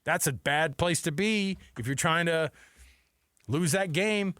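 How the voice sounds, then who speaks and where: A man's voice: on the high side, a little slow, a bit bright, dry recording, clean studio sound. An American man hosting sports talk radio, giving opinionated commentary in an emphatic, exasperated, conversational way. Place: radio studio.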